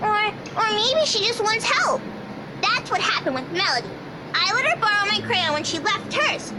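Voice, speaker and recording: A woman's voice: very high voice, animated, normal speed, very noisy. A woman voicing an innocent, curious, earnest little girl in a visual novel, plain-spoken and childlike, with music behind her. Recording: loud music behind the dialogue.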